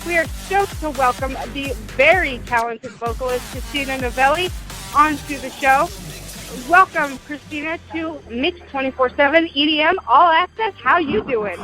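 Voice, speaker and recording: A woman hosting a radio show, energetic and hyping up her guest as she asks questions. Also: high-pitched, normal speed, faint music behind, poor audio.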